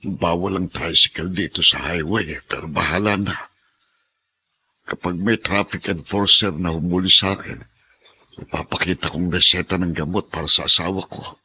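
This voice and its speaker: A man's voice: low-pitched, steady tone, moderate pace, bright, forceful tone, a little hiss or hum. A man playing a kindly, apologetic middle-aged husband who drives a tricycle, in a Filipino radio drama. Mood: worried muttering.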